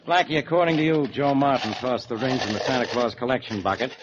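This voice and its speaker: An actor playing a blunt, sarcastic police inspector who mocks and doubts, in 1940s radio style. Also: male voice, medium pitch, fast, lightly acted. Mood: skeptical. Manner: blunt, mocking. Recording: dull, noisy old radio recording.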